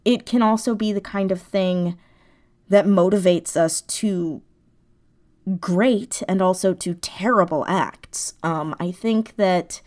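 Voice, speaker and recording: A woman's voice: lowish voice, slow pace, a little mellow. A woman speaking as an interview guest, explaining her creative thinking in a casual, thoughtful way, searching for words as she goes. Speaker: guest, audio drama writer.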